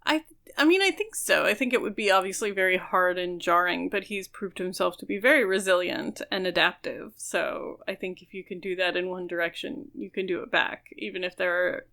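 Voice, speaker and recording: Creaky-voiced woman in casual discussion. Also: lowish voice, brisk, hardly any pauses, bright, forceful tone. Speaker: podcast co-host.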